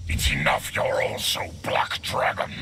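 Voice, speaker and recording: An actor voicing a fierce monster warrior in a video game, growling and menacing in a gravelly voice. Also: male voice, in a whisper, lightly acted. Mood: threatening. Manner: slow, menacing, declarative. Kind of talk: video game dramatic acting. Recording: loud background noise.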